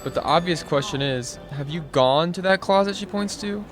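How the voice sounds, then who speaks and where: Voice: medium pitch, normal speed, faint music behind, lightly acted. An actor playing a skeptical, level-headed young boy in an audio drama, questioning in a matter-of-fact way.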